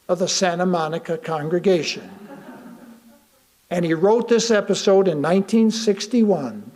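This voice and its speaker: An American man with a high voice delivers a written sermon. His pace is slow and deliberate, and he leaves pauses to let key phrases land. He puts rhetorical questions to his listeners as he reads. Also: darkish tone. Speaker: lay reader delivering a sermon. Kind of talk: sermon read aloud to a congregation.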